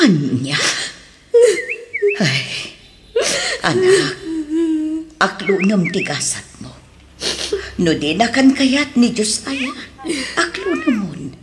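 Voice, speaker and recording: Motherly Ilocano woman, mid-pitched, animated swoops, consoling, radio drama, noisy recording. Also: very slow, long pauses, full character voice. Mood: consoling, resigned. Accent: Filipino, Ilocano. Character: caring, consoling Ilocano mother of grown daughter. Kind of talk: Philippine radio drama acting.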